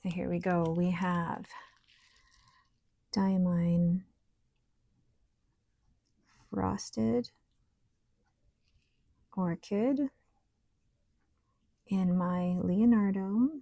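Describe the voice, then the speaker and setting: Hoarse, gravelly-voiced woman chatting at her desk. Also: fairly low pitch, extremely slow, pauses often, no echo. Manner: chatty, relaxed, thinking aloud while working. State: scratchy, hoarse voice. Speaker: stationery vlogger. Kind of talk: casual show-and-tell while writing and painting.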